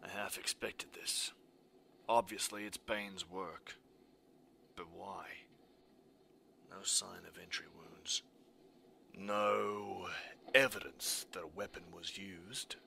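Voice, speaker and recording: A man playing a grim, brooding masked vigilante detective, terse and gravelly, in a fan-dub drama. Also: low voice, fairly even intonation, slow, pauses often, bright, forceful tone, slight background noise, full character voice. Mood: grim, analytical. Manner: terse, serious.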